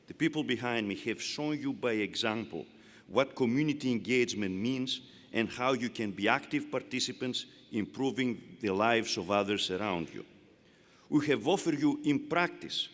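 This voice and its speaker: A man with a Greek accent giving a formal, measured commencement address to graduates. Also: mid-range voice, expressive. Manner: measured, emphatic oratory. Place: large indoor arena. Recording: long arena reverb.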